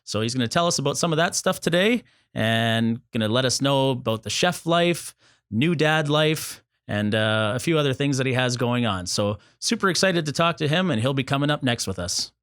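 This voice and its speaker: An upbeat man hosting a talk show, cheerful and quick with a joke as he runs the segment. His voice is recorded dry and close, with no room sound. He moves briskly through introductions and announcements. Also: mid-range voice, lively intonation, fairly bright tone, clean tone, no room sound. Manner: upbeat, promotional, joking. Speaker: show host.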